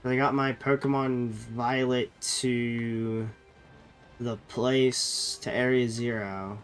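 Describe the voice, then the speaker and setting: A man's voice: medium pitch, level tone, slow, clear, resonant tone, faint music behind. A man streaming a game while sick with a cold, sounding nasal and chatting loosely with viewers, often correcting himself. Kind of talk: casual live-stream chat.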